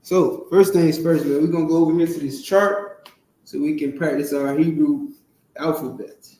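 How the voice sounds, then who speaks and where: A man teaching a language class from a chart, slowly repeating points and checking in with his students. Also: on the high side, fairly even intonation, warm tone, distant mic. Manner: slow, repetitive, checks in with "all right" and "you understand". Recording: echoey room, voice from off-mic.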